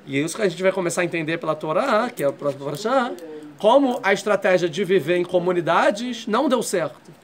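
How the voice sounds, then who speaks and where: Man teaching a torah class, high voice, patient, walks through each point. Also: lively intonation, fast. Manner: explains step by step, checks in with "tá?". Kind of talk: classroom lecture.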